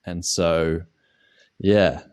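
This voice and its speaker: A man with an Australian accent and a low voice talks through his coaching business. He rambles, stacks fillers and restarts his sentences as he thinks aloud. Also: flat delivery, very slow, darkish tone, clear, resonant tone. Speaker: online ski coach. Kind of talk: casual interview answers.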